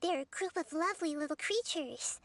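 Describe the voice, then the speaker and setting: A woman voicing a gentle, caring little girl deity in a video game, soft-spoken, earnest and reassuring. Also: extremely high pitch, fairly quick, no echo. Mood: gentle reassurance.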